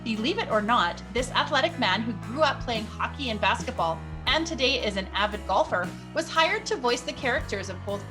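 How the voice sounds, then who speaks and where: A woman reading a scripted introduction as the host of a show. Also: a little high. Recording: music behind the voice. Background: music.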